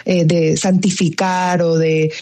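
A woman's voice: on the low side, little pitch movement, slow pace, warm tone. A young adult woman from Colombia with a paisa accent, answering interview questions thoughtfully with many fillers and restarts. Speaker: actress guest.

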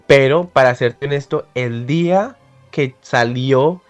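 A Colombian man, a pastry chef, talking excitedly and laughing often as a guest on a phone interview. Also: mid-range voice, slow pace, muffled recording, faint music behind. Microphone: phone line.

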